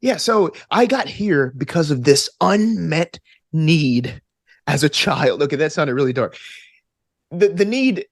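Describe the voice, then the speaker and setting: A man from Alabama chatting casually as an interview guest, in a playful, animated way. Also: fairly high pitch, unhurried, no room sound, clean studio sound. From Alabama, United States. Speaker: podcast guest, actor and gamer.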